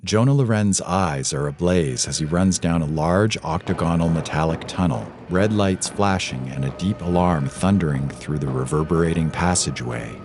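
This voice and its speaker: Male narrator for sci-fi audiobook: exceptionally low register, dramatic scripted read over music. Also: moderate pace, few pauses, a little mellow. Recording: music clearly underneath.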